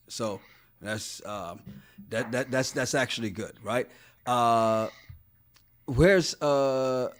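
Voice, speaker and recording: A man co-hosting a casual podcast, chatting loosely, reading viewer comments aloud, laughing often and repeating words. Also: medium pitch. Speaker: podcast co-host. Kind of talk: casual chat reading live chat.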